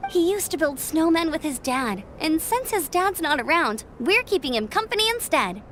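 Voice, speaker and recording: An actress playing a friendly, energetic girl adventurer in a video game, upbeat and encouraging. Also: extremely high pitch, normal speed, a little hiss or hum, heavily acted. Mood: persuasive.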